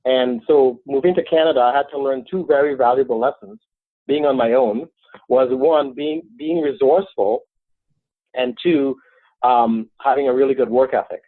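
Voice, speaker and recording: A middle-aged Trinidadian man talks eagerly and earnestly as an interview guest. His voice sits at an ordinary pitch for a man. The sound is thin and phone-like, with no room around it. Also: moderate pace, dry recording. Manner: earnest, enthusiastic. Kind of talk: interview answers. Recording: dry, tinny phone-like line.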